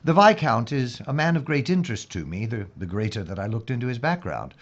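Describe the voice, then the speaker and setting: A man acting as a grave, methodical British investigator in a period radio mystery. His voice is husky and moves widely in pitch as he lays out his thoughts formally and deliberately. The sound is slightly dull. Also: big pitch swings. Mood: intent, persuasive. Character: earnest, methodical male British investigator in wartime London.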